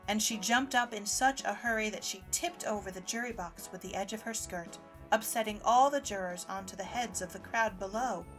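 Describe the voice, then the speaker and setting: A woman's voice: mid-range voice, normal speed, a bit bright, low-quality recording. A woman reading scripted storybook narration as the narrator of an audio drama. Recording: music under the voice.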